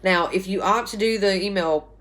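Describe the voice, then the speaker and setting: A woman, a writing instructor recording a chatty, rambling class update at home, a little hoarse from a sore throat. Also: mid-range voice, moderate pace, a bit bright. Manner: chatty and rambling, many ums and restarts. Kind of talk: casual recorded class announcement. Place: small bedroom at home, indoors. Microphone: phone camera at arm's length.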